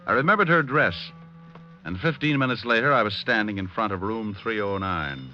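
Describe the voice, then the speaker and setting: Man acting a low-voiced hard-boiled American private eye. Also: animated, brisk, slight background noise, close to an everyday voice. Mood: hard-boiled narration. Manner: wry, clipped, slangy. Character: hard-boiled wisecracking male private detective. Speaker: lead actor and narrator. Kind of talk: 1940s radio detective acting. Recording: muffled old radio broadcast, music.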